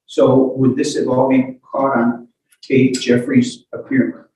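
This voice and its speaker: Male attorney, casual halting questioner, medium pitch, heavy courtroom reverberation. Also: completely flat delivery, a little mellow, a little hiss or hum. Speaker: lawyer questioning a witness. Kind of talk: courtroom cross-examination. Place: echoey courtroom, far from the mic. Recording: very echoey, distant courtroom audio.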